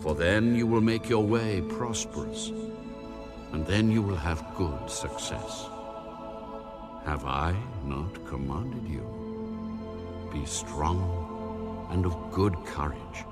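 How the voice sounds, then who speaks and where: An actor playing God in a dramatised audio Bible, speaking with solemn, weighty, commanding reassurance. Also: male voice, low voice, lively intonation. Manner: slow, measured, weighty delivery. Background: new-age music.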